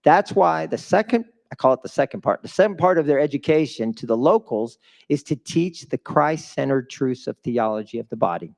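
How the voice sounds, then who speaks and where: A man's voice: fairly high pitch, lively intonation, brisk, gravelly, darkish tone. Man giving an emphatic live speech. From a developing country. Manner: emphatic, asks the audience questions. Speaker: guest speaker at a charity fundraiser. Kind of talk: live motivational speech to an audience.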